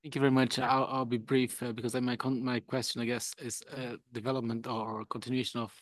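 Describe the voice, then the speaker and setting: A man, an academic asking an informal, halting question off the cuff at a conference. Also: medium pitch, little pitch movement. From Brazil.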